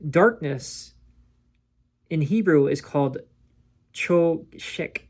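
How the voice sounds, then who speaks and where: A man with a slightly high-pitched voice talks through Bible verses on his own. He reads scripture aloud, then explains it with simple everyday comparisons in a measured teaching tone. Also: slightly rough. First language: English. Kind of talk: scripted solo teaching talk.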